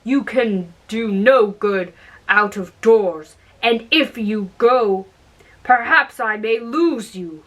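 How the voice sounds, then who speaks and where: A woman's voice: on the high side, slow pace, a bit bright. Woman reading as a firm, cautioning peasant father. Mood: firm warning. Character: protective, worried peasant father in a fairytale.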